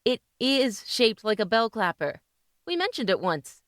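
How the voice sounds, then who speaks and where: A woman's voice: on the high side, very animated, a little slow, no room sound, clean studio sound. A woman playing a spirited, clever doctor's wife in an old-time radio style drama. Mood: playful, proud. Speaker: actress.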